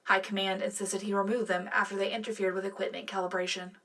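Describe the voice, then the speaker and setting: A composed female news co-anchor played by a woman reads a scripted sci-fi radio bulletin. Her voice sits at a middle pitch with polished, tidy phrasing, set slightly back from the mic. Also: flat delivery, fast talker, fairly bright tone, distant mic.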